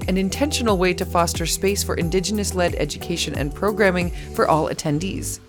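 A woman anchoring a news program, introducing reports in a smooth, polished read. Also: lowish voice, fairly quick. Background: music bed.

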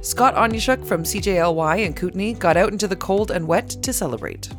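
A woman anchoring a news program, introducing reports in a smooth, polished read. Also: mid-range voice, animated, fairly quick. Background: music bed.

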